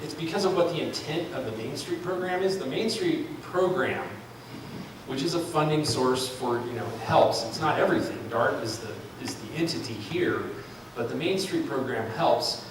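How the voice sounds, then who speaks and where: A man's voice: medium pitch, expressive, moderate pace. A man presenting a market analysis at a public forum, speaking off the cuff with many ums and restarts. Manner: thinking aloud, frequent ums and restarts. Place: large room at a public forum. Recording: echoey hall, far from mic, noisy.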